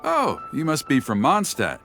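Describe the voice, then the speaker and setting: Wildly animated, theatrical storyteller. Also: male voice, a little high, a little slow, over music, exaggerated voice. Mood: intrigued, persuasive. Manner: florid, theatrical. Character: eloquent, theatrical local storyteller, flattering and eager. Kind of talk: video game voice acting.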